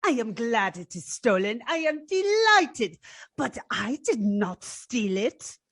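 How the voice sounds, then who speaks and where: A woman's voice: fairly high pitch, sing-song, fairly bright tone, no echo, full character voice. Woman playing a dramatically swooping, proud young Frenchwoman. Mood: defiant. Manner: haughty, emphatic, deliberate. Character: proud, indignant young French woman, defiant femme fatale. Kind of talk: old-time radio detective drama acting.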